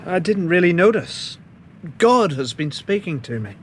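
A man's voice: sits high, very animated, a little slow, slight background noise. An actor playing the apostle Peter, a plain-spoken man preaching earnestly to a crowd in a radio drama. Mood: calm, assured. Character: Peter, bold fisherman apostle, middle-aged man.

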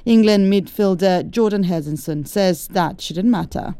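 A woman reading a radio news bulletin in a steady, clear manner. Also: medium pitch, measured pace, slight background noise. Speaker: newsreader. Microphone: studio mic.

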